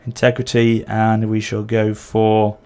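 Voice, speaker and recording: A man's voice: lowish voice, completely flat delivery, unhurried, darkish tone, smooth. Man with a sore throat, muttering along. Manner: thinks aloud, self-correcting, muttering to himself. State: sore throat with occasional coughing. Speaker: gameplay channel host. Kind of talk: casual strategy-game commentary.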